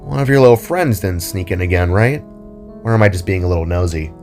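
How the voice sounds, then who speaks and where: A man playing a gentle, concerned father in a fantasy audio story, speaking carefully. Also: sits low, fairly quick, soft background music, voice pushed down. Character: gentle, concerned father spark, adult male.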